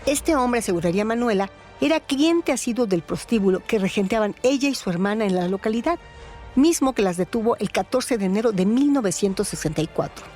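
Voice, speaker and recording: A woman, narrating scripted stories and commentary in a theatrical, deliberate manner. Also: mid-range voice, expressive, a little mellow, soft background music. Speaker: narrator and host of a radio storytelling series.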